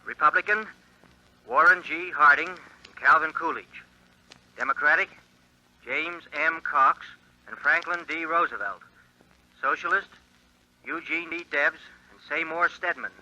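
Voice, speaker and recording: An earnest 1920s radio announcer, formal measured delivery, bright ringing tone, slightly raised voice, muffled old broadcast sound. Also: male voice, fairly high pitch. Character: male 1920 radio election announcer, earnest. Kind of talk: 1940s radio acting. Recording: dull lo-fi 1940s radio recording.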